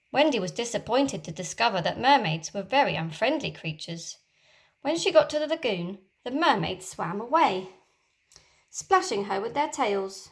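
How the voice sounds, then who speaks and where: Woman, teacher, storybook reading, expressive, animated. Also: mid-range voice. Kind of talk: reading a children's story aloud.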